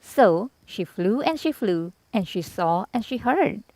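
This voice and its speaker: A woman narrates a children's storybook expressively. Her mid-pitched voice rises and falls in a lively way, and the recording is dry with hardly any room sound. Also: unhurried, no room sound. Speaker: storybook reader.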